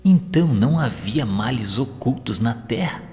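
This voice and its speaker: A man's voice: medium pitch, expressive, normal speed, a little mellow. A man reading scripted dramatic narration in a solemn, formal, literary manner. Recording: long hall reverb, faint music. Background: faint music.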